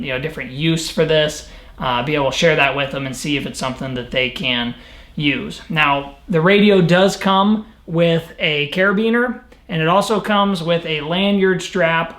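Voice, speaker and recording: A man with a fairly high voice talks through an outdoor gear review in a casual, explanatory way. He sounds conversational and matter-of-fact. The recording is clearly roomy, with echo around his words. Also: a bit bright. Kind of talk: casual product review to camera.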